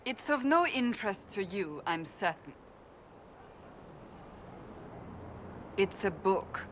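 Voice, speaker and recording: A woman's voice: mid-range voice, very animated, normal speed, a little hiss or hum, close to an everyday voice. An actress voicing a stern woman warrior in a video game, flustered and defensive. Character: stern woman warrior, secretly a romance reader.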